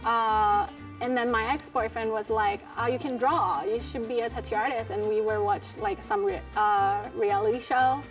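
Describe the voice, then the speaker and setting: A woman in her thirties answering interview questions softly and modestly, with little pauses. Her voice sits slightly high and is picked up from a distance, with clear music underneath. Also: fairly even intonation. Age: 30s. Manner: soft-spoken, hesitant, modest. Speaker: tattoo artist.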